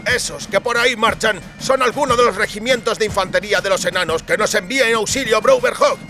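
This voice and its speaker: A mocking know-it-all soldier of fortune from a fantasy audiobook acted by a man. The voice is sharp and raised in pitch, delivering cutting, scornful remarks with authority. Faint music plays underneath. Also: fast talker. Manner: sardonic, cutting. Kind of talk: audiobook character acting.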